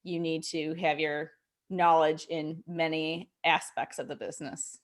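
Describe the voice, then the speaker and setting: A woman's voice: low voice, little pitch movement, a little slow. A woman hosting an interview in a conversational style, responding to her guest and putting questions. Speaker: interview host, broadcasters association president.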